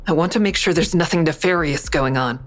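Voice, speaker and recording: A woman's voice: deep voice, fairly quick, close to an everyday voice. An actress playing a guarded, distrustful woman with a terse, serious manner in an audio drama. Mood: suspicious.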